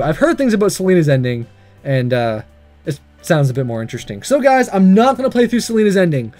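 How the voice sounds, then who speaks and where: Excitable high-voiced man playing a game. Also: no echo. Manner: gushing, excitable. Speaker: let's-play host. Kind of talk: reading a visual novel aloud with excited commentary. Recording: faint music behind. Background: soft game music.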